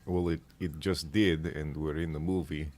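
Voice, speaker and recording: A man's voice: extremely low pitch, steady tone, moderate pace, darkish tone. A man taking part in an improvised tabletop game as a player, role-playing casually.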